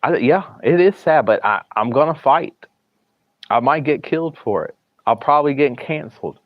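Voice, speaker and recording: A man's voice: medium pitch, animated, moderate pace, no room sound. A man with a thick Southern US accent, rambling through a casual livestream from a hospital room and often restarting or trailing off into mumbles. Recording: dry, slightly dull livestream audio.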